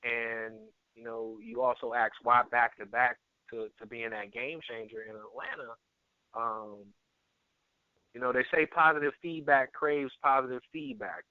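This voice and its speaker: An earnest man speaking as a guest on a conference call, halting and repeating words as he gives a testimonial over a telephone line. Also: medium pitch, no room sound. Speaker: guest, network marketer and area manager. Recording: tinny phone line, narrow band.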